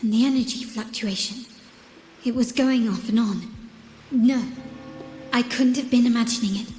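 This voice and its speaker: An actress playing a composed, determined young heiress agent in a video game, speaking in a measured, formal and deliberate way. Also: female voice, fairly high pitch, darkish tone, close to an everyday voice. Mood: doubtful, searching.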